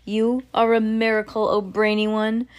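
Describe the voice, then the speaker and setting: A woman voicing adoring, excited witches in a storybook audience, gushing in short exclamations. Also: on the high side, normal speed, close to an everyday voice.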